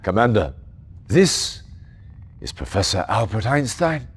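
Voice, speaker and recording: An actor playing a grave, commanding military leader in a game cutscene, speaking formally with dramatic authority. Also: male voice, fairly low pitch, very animated, a little slow, gravelly, husky, full character voice. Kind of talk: live-action game cutscene acting.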